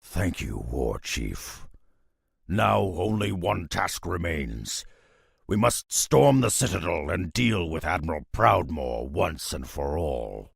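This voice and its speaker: A man voicing a grim, loyal half-ogre beastmaster in a fantasy video game, with a gravelly growl and solemn, terse military speech. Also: low-pitched, sing-song, slow, dry recording, studio quality, full character voice.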